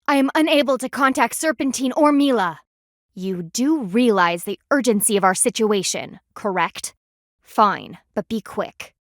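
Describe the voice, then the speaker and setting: A woman's voice: on the high side, animated, unhurried, dry recording, studio quality. A woman voicing a stern, proud female panda warrior in a video game, with formal, clipped and dryly sardonic diction. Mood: stern, impatient. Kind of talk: video game character voice lines.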